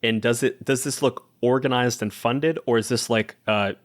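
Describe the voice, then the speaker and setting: A man's voice: mid-range voice, fairly even intonation, normal speed. A man talking casually as a player in a tabletop roleplaying game, thinking aloud and rewording as he goes. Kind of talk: casual roleplay talk, describing his character's actions.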